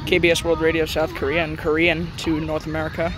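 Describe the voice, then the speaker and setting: A man's voice: a little high, completely flat delivery, quick pace, music in the background. A young man giving casual handheld commentary as a radio hobbyist, recorded outdoors on a phone. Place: outdoors in a yard with grass. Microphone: handheld phone camera.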